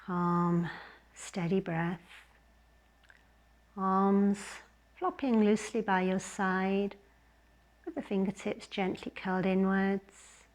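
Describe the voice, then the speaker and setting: Female yoga teacher, unhurried gentle delivery for guided relaxation, mid-pitched. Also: fairly even intonation. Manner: gentle, unhurried, with long pauses between short phrases.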